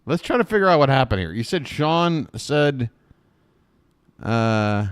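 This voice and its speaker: A man hosting a live stream, rambling and self-deprecating in casual reaction commentary, with a booming voice and a slight wet lisp, swearing casually, on a desk microphone. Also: lively intonation, unhurried, clean tone, no room sound, studio quality.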